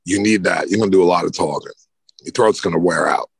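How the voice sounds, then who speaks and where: A man chatting casually on a podcast, handing out practical tips with relaxed asides. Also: deep voice, quick pace, gravelly, husky, no room sound. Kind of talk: casual advice chat.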